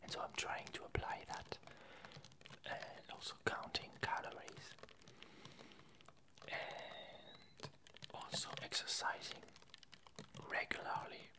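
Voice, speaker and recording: Slow whispering woman, ASMR. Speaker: ASMR video creator. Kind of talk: whispered ASMR, casual update chat.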